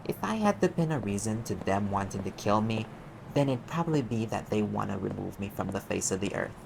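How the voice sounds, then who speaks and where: A man's voice: lowish voice, animated, fairly quick, creaky, noisy background. A man playing a sarcastic, streetwise demon private investigator in an audio roleplay, talking in an offhand, sardonic way. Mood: wry, brooding. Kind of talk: conversational audio roleplay acting.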